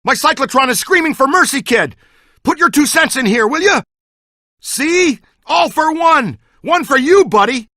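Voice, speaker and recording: An actor playing a wisecracking ghost hunter in a video game, with a glib, joking manner. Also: male voice, very high voice, animated, normal speed, pushed and bright, dry recording, heavily acted. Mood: excited.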